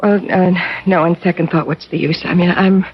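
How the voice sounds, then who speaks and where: An actress playing a firm, weary, exasperated sister placating a young wife in a 1970s radio drama. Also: female voice, on the low side, steady tone, fairly quick, slightly rough. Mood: weary, dismissive. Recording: dull, noisy old radio recording.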